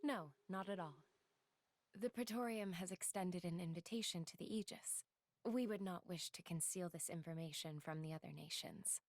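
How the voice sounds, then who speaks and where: A woman playing a courteous, composed young woman in a video game, measured and formal. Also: fairly low pitch, no echo. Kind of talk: video game cutscene acting.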